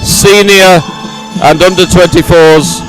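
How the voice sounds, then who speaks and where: A man's voice: high-pitched, fairly even intonation, takes it slowly, rough recording. A middle-aged man giving live, ad-libbed sports commentary in an effusive, sentimental way, repeating phrases for emphasis. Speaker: event commentator. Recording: music playing behind the voice. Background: loud stadium music at a medal ceremony.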